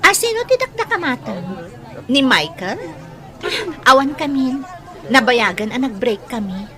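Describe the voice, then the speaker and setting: Actress as a high-voiced, forward Filipino flirt. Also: female voice, very animated, unhurried, bright, forceful tone, some chatter behind, exaggerated voice. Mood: breezy, chatty. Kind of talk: audio drama acting.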